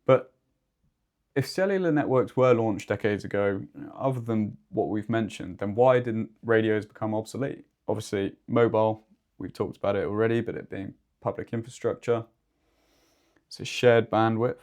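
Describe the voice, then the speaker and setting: Low-pitched man co-hosting a podcast. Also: moderate pace, a little mellow. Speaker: podcast co-host. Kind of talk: informal podcast discussion.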